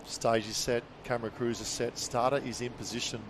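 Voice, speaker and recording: Excitable male sports commentator. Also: medium pitch, a little fast, noisy background. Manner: excitable, calls the action in bursts. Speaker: play-by-play commentator.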